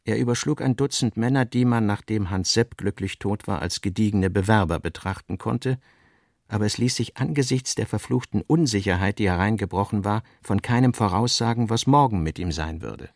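A man narrates a literary radio production. His voice sits low, with a gravelly creak running through it. He reads scripted prose in a dry, close studio sound. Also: normal speed, no echo.

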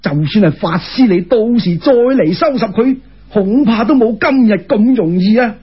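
Male storyteller as an impetuous court official, Cantonese, lively pitch swings, husky, pressing and insistent, folk-tale audiobook, muffled sound. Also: moderate pace, heavily acted. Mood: urgent, scheming.

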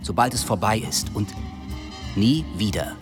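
Radio drama casting: man as resolute intelligence sergeant, grave and low, with music underneath. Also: unhurried, natural voice. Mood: grim resolve. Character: hard, resolute American army intelligence sergeant.

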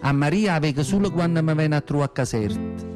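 A man's voice: on the high side, expressive, quick pace, natural voice. A male actor playing an elderly southern Italian mother, rambling and confiding her memories in Neapolitan dialect in a radio monologue. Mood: resigned, plaintive. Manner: rambling, confiding reminiscence with repeated phrases. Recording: guitar music behind the voice. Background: plucked guitar music.

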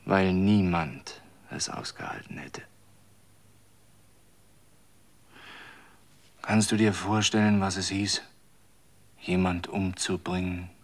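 A man playing a weary, bored figure who speaks slowly and sometimes sinks into whispers. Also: low voice. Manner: weary, flat, slow, drops to whispers. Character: bored man longing for any excitement. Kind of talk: radio drama acting.

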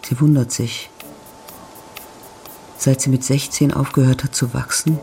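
Male narrator, radio drama: gravelly, fry-edged voice, sober descriptive reading over faint music. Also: mid-range voice, steady tone, unhurried. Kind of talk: scripted literary narration in a radio play. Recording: studio voice over soft music.

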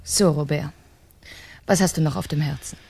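An actress playing a cold, impatient wife who plots murder, insistent and clipped, in a 1970s crime radio play. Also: female voice, deep voice, moderate pace, gravelly, dark tone, slight background noise. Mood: cool, calculating.

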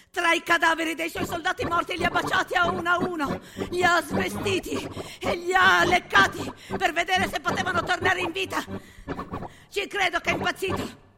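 A woman's voice: very high voice, little pitch movement, moderate pace, vocal fry, background noise, voice pushed up. Woman playing a frantic, stammering shell-shocked soldier. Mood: frantic, bitter. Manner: agitated, stammering repetitions. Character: shell-shocked male soldier, agitated, defiant, raving. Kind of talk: theatrical war monologue.

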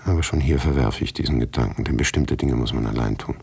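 Very deep, gravelly brooding writer. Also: male voice, fast talker, dark tone, full character voice. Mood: brooding, matter-of-fact. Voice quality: gravelly, husky. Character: brooding male writer narrating his absurd misfortune. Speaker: narrator in a radio play. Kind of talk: first-person radio play narration.

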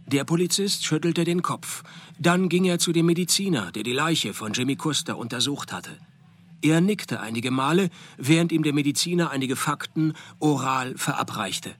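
Private detective for radio drama, slightly high voice, dry deadpan sarcasm, world weary. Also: male voice, moderate pace. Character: sardonic, world-weary male private detective narrating his case. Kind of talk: deadpan radio play narration and dialogue.

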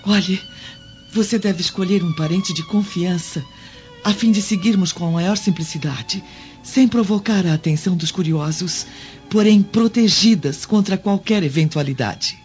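A woman's voice: mid-range voice, normal speed, a little mellow, close to an everyday voice. A woman acting a composed, commanding Roman mistress of the house in a radio drama. She speaks formally and with resolve. The sound is dull, with faint music underneath. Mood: resolute. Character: dignified, resolute Roman senator's wife, kindly mistress. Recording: muffled lo-fi recording.

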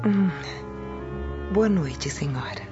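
A woman's voice: lowish voice, expressive, slow, music in the background. A woman with a Brazilian accent playing a falsely sweet, insinuating Roman maidservant in a radio drama. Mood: falsely solicitous. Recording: dull, muffled studio track.

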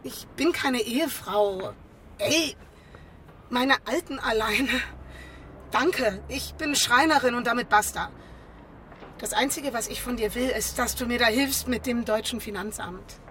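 An actress playing a brash, stubborn carpenter in a naturalistic radio drama, blunt, slangy and given to swearing. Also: female voice, fairly high pitch, a little slow, fairly bright tone, a little hiss or hum. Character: brash, stubborn woman carpenter fuming at the tax office.

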